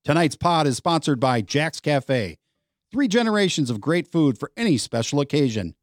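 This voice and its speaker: A man's voice: fairly high pitch, big pitch swings, normal speed, no room sound, clean studio sound. A man with an American accent reading a scripted podcast intro and sponsor spots as the announcer.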